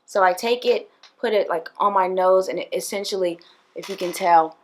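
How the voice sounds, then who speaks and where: A woman's voice: medium pitch, moderate pace. A young woman, a PE teacher, giving casual tips straight to camera in a small room, chatty and direct with lots of fillers. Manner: chatty and direct, addresses viewers, frequent fillers. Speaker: PE teacher and video host. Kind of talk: casual talking-head tips video. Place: indoors, small room with plain wall.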